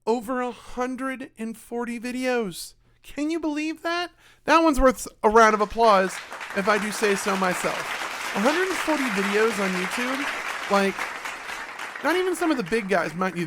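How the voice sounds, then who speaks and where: A man with a slightly raspy voice giving a chatty, self-mocking channel update to his viewers. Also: sits high, a bit bright. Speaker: YouTube creator. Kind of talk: casual channel update monologue.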